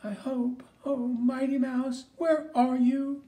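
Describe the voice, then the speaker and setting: A man reading an audiobook, voicing a panicked little cartoon townsmouse in a high, thin voice. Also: level tone, slow, mellow. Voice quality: high, thin, set back from the mic.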